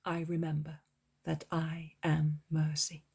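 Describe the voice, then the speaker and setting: Quiet, calm male teacher in a storybook reading, soft and breathy, read by a woman. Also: low-pitched, takes it slowly, full character voice. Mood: gentle.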